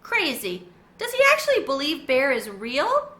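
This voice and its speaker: An exasperated big brother from a children's book, played by a woman. His voice is bright and high. It sounds in an echoey room with a faint hiss behind it. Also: wildly swooping pitch, unhurried, full character voice. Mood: annoyed. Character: annoyed older boy, big brother.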